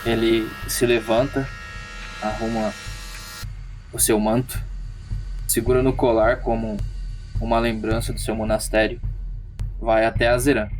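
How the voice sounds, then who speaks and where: Even-toned Brazilian man narrating a character. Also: fairly low pitch, a little slow, pauses often. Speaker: tabletop RPG player. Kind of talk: narrating his character's actions. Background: background music.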